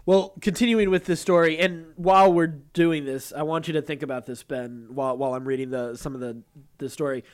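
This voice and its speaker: A man's voice: fairly high pitch, fairly even intonation, brisk, smooth. A man co-hosting a podcast, talking through entertainment news in a casual way and reading stories aloud. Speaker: podcast co-host.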